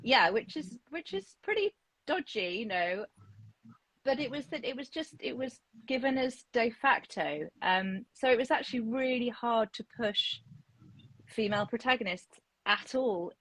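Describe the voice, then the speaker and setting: A woman in her forties, a picture book author, talking in a relaxed interview with many restarts and fillers. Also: medium pitch, no echo, rough recording. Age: 40s. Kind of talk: casual interview chat.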